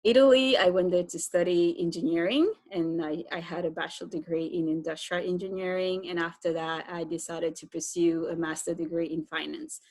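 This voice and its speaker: A woman's voice: fairly low pitch, fairly even intonation, fairly quick, hardly any pauses, darkish tone, no room sound. A woman from Cameroon with a Cameroonian accent, telling her own story conversationally as an interview guest.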